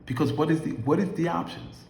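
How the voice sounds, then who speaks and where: A man's voice: a little high, a little fast, gravelly, darkish tone. Emphatic man in his fifties, echoey room. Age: 50s. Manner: emphatic, preachy, rhetorical questions. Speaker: affiliate marketer vlogger. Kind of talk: motivational talk to camera.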